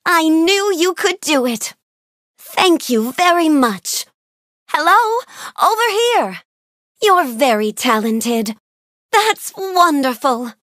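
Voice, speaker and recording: A woman voicing a kind, bookish young fairy-tale princess in a video game, speaking politely and gently with wide-eyed wonder. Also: high voice, big pitch swings, slow pace, no room sound, studio quality, heavily acted. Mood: friendly, encouraging. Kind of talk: video game character voice clips.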